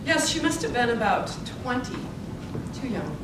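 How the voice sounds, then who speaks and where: A woman's voice: a little high, sing-song, normal speed. A woman playing an eighty-six-year-old Montana suffragist in a live theatre piece, grateful and principled, speaking with earnest deliberation. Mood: reminiscing, grateful. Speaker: actor in a theatre presentation. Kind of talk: theatrical interview and monologue, live stage performance. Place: indoor presentation space at a public library. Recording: echoey, distant, noisy library event recording.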